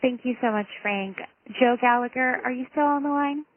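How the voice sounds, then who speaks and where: A woman's voice: sits high, normal speed, dry recording. A woman moderating a press conference call in a polite, formal way. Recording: thin dry phone line.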